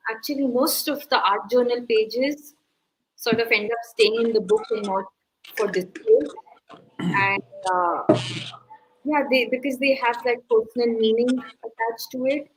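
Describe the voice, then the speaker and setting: A woman's voice: a little high, measured pace, a little hiss or hum, rough recording. Easygoing woman co-host reading questions. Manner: easygoing, reads viewer questions aloud. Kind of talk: casual live chat.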